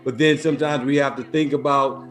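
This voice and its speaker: A middle-aged man hosting a podcast in a casual conversational style, affirming and deliberate, circling around his questions. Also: mid-range voice, fairly even intonation, soft background music. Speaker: podcast host.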